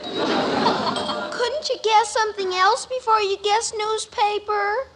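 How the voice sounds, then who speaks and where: Small American girl, piping bright voice, unhurried delivery, insistent, old radio comedy. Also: extremely high pitch, exaggerated voice. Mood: pouty. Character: pestering nine-year-old little girl fond of riddles. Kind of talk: 1950s radio sitcom acting. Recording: vintage radio recording with faint hiss.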